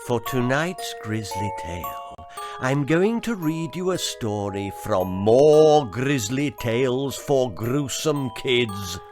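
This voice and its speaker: British man, animated, unhurried storyteller. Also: on the low side. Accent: British English. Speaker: author reading own stories. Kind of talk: dramatic children's storytelling.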